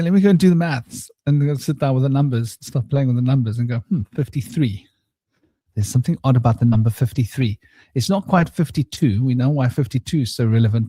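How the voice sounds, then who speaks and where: A man hosting a casual spiritual round-table chat, rambling and relaxed, with a somewhat droning voice and many fillers. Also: medium pitch, breathy edge, no echo. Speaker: host. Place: home studio.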